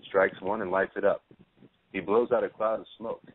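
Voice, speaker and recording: Low-voiced male narrator, tinny sound. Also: fairly even intonation, moderate pace. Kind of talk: scripted narration of stage directions. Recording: tinny phone-line audio.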